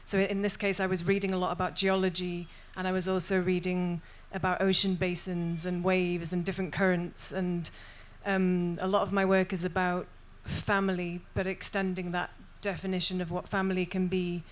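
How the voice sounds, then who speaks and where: A young Welsh woman poet speaking thoughtfully on a festival panel, with many pauses and restarts. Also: lowish voice, level tone. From Wales. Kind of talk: panel discussion and poetry reading.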